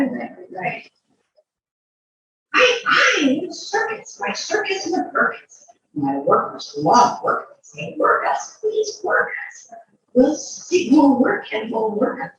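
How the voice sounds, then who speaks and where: A woman reading a picture book aloud to a child, expressive, drawing out words and adding chatty asides. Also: sits high, heavy reverb, recorded from a distance, muffled recording, a little hiss or hum.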